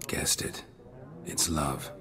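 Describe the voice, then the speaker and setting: An actor playing a world-weary, terse monster hunter in a video game, with a gravelly, hushed growl and a dry deadpan delivery. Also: male voice, slow, background noise, natural voice. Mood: terse, impatient. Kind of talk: video game character dialogue. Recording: crowd chatter behind the voice.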